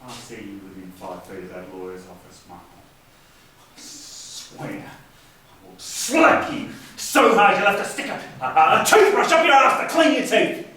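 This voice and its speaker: A man's voice: high voice, very animated, unhurried, bright, forceful tone, full character voice. A man acting a henpecked, spiteful married older brother in a stage drama, with hesitant, stammering speech. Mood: threatening. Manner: hesitant, stammering, word repetitions. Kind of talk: amateur stage play acting. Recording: echoey room from far off, faint noise.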